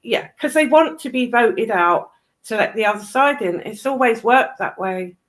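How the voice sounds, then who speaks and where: Higher-pitched British woman, blunt and opinionated. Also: expressive, moderate pace. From United Kingdom. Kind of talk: casual political chat.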